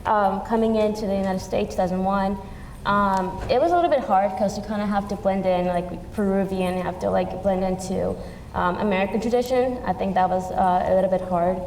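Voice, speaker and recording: Young woman with a gravelly voice in a hall. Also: medium pitch, fairly quick, darkish tone. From Peru. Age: young adult. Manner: hesitant, filler-heavy. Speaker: student panelist. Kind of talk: panel answers. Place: event stage in a large hall. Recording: reverberant hall with faint noise.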